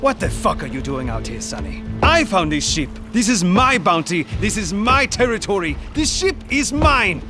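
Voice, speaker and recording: An actor playing a proud, stubborn pirate captain in an audio drama, speaking in a terse, defiant, urgent way. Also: male voice, high-pitched, very animated, normal speed, hardly any pauses, over music.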